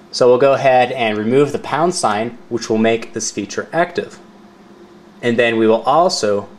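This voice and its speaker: A man narrating a step-by-step product tutorial in an informal, explanatory way, repeating key points for clarity. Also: mid-range voice. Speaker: product demonstrator.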